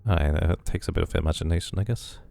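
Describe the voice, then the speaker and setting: Low-voiced man narrating a game playthrough. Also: fast talker, creaky, warm tone. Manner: reads narration aloud, mutters asides to self. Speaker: video game streamer. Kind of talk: casual playthrough with dramatic read-aloud of game text.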